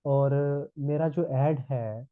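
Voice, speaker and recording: A man's voice: mid-range voice, very slow, no room sound. A man from Pakistan with a sore throat, teaching a live online class and checking in with students as he explains. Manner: explanatory, repeats "thik hai" checks. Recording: tinny phone-like line.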